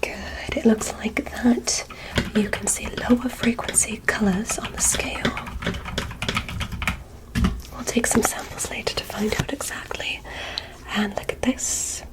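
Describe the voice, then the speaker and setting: A woman voicing a curious, polite alien examiner in a whispered ASMR roleplay, speaking in a soft, deliberate, clinical manner. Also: on the high side, fairly even intonation, natural voice, poor audio. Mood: curious, gentle.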